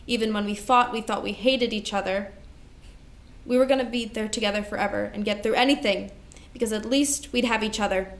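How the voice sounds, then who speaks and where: A woman's voice: medium pitch, a little fast, natural voice. A woman in a staged play reading, playing a devoted older sister burdened by grief and responsibility, speaking earnestly and emotionally. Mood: grieving. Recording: echoey room recording.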